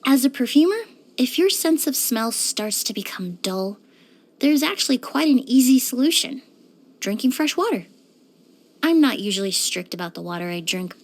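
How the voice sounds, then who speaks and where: A poised young perfumer from a video game, with a voice a little on the high side. She speaks in measured, articulate phrases with a refined, polite composure. Her delivery is that of a scripted character monologue, quietly curious and thoughtful. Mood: thoughtful, admiring.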